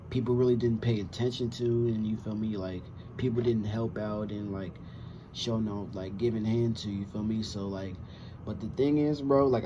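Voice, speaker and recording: A man's voice: fairly low pitch, noisy background. Slangy young man, muffled audio. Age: 20s. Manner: slangy, rambling, frequent profanity. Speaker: reaction video host. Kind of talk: casual chat to camera. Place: small room with wooden cabinets indoors. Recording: dull lo-fi sound, music behind.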